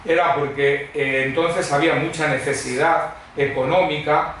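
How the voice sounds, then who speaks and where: A middle-aged or older man gives a personal testimony to a church congregation in a conversational, expressive, digressive way, telling humorous anecdotes. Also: fairly high pitch, normal speed. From Madrid, Spain. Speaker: guest preacher giving testimony. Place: church hall before a congregation. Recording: very echoey hall, distant mic, faint noise.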